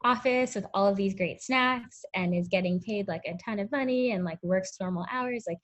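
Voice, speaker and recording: A woman's voice: medium pitch, moderate pace, fairly bright tone. A woman, answering interview questions in a chatty way full of ums and likes. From Connecticut, US. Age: 20s. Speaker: interview guest, tech startup founder. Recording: muffled lo-fi audio.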